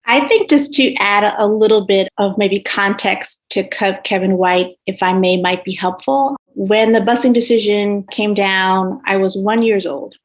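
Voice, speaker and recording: A woman's voice: mid-range voice, unhurried, few pauses. A woman in her forties, a historian speaking on a panel in an explanatory style. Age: 40s. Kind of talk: prepared, explanatory panel remarks.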